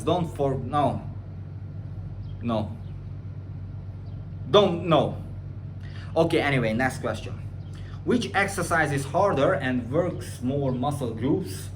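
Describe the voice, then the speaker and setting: A man with a Hungarian accent, a personal trainer answering viewer questions in a casual monologue, blunt and opinionated, swinging from loud rants to quieter explanations. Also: a little hiss or hum. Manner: blunt and opinionated, rising loud and high when ranting; drops to a quieter, slower tone when explaining.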